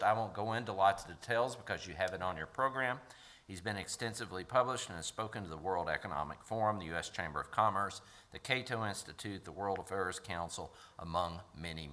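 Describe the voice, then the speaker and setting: A middle-aged man hosting a lecture event, giving announcements and introducing the guest in a relaxed, chatty way with frequent fillers. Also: fairly low pitch. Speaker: host introducing a speaker. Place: lecture hall with an audience.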